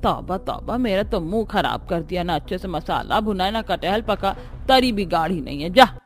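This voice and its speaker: A woman's voice: fairly low pitch, expressive, normal speed. Woman as bossy old village grandmother. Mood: scolding, demanding. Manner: scolding, commanding. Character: loud, bossy 75-year-old village grandmother-in-law, fault-finding.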